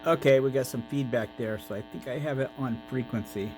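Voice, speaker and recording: A man's voice: medium pitch, fairly quick, music in the background, poor audio. A man narrating a casual hands-on electronics demonstration as its host.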